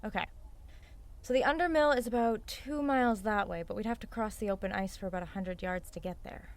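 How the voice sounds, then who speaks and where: An actress plays a young woman on the run in an audio drama. She is practical and sardonic, speaking in a natural, dry way at a medium pitch. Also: fairly quick, natural voice. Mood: practical, focused. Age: young adult. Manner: dry, sarcastic.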